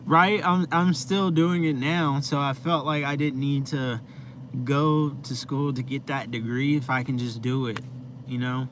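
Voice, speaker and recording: Laid-back young man, slow drawl. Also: mid-range voice, fairly even intonation, fairly bright tone. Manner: laid-back, rambling, heavy use of "like". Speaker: live stream host. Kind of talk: casual live stream chat with viewers. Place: inside his van. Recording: noisy vehicle ambience.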